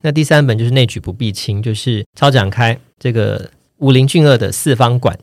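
Casual podcast guest: man, Taiwanese Mandarin accent, medium pitch, dry close sound. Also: fairly quick, darkish tone, dry recording. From Kaohsiung, Taiwan.

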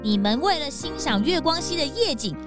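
A woman voicing an excitable, easily confused male detective's assistant in a children's radio drama. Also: high voice, fairly quick, loud music behind the voice. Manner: wide-eyed, exclaims a lot.

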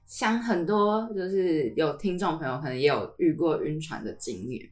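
A woman's voice: low voice, normal speed, a little mellow, soft background music. A woman co-hosting a podcast in an agreeable, explanatory way, between scripted introduction and casual chat. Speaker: podcast host.

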